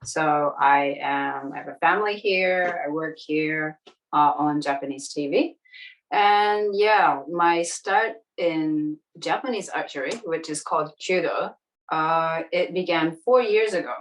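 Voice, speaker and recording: A woman in her forties from New Zealand, with a light New Zealand accent, chatting in a relaxed way as a podcast guest. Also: low-pitched, measured pace. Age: 40s. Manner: relaxed, chatty, laughs easily. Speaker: interview guest. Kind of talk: casual interview chat. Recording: distant from the mic.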